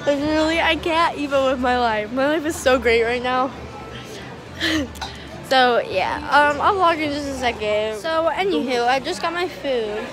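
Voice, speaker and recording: A teenage girl vlogging on a phone held at arm's length in a busy restaurant, gushing and giggly as she talks fast and excitedly. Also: high voice, over music. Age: teens. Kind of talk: excited casual vlog chat. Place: indoor restaurant at a competition venue.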